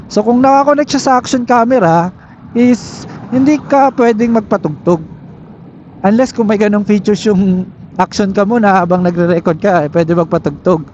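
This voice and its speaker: High-voiced Filipino man on dull helmet mic. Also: darkish tone. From Philippines. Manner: explanatory, with frequent tag questions. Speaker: motovlogger reviewing gear. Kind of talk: casual product review to camera. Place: on a moving motorcycle, inside a helmet. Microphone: helmet intercom microphone. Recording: dull helmet intercom audio with riding noise. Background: faint vehicle noise.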